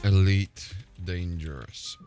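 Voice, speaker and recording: A man's voice: sits low, takes it slowly, music in the background. A man hosting a live gaming stream, talking casually as he plays and troubleshoots.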